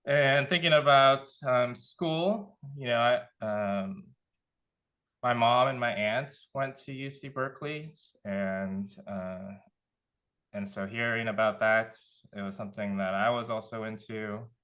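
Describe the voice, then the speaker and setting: A man from California giving a relaxed career talk as a panelist, thinking aloud with many fillers. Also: medium pitch, slow. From Contra Costa County, California. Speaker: panelist, nonprofit director.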